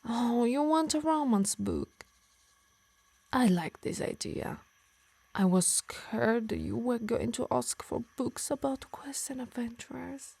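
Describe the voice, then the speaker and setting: A woman voicing a possessive, doting yet menacing harpy in an intimate ASMR roleplay, teasing and coaxing softly, often in whispers. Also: fairly high pitch, slow, dry recording, heavily acted. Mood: pleased, doting. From Italy. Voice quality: breathy, soft. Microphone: close, dry microphone.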